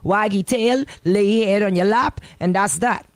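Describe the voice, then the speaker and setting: A woman with a Bahamian accent hosting casual radio chat, animated, emphatic and colloquial, close on a studio microphone. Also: mid-range voice, normal speed, dry recording. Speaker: radio host.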